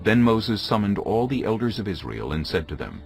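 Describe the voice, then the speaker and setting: Low-voiced, expressive male scripture narrator. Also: moderate pace. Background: music.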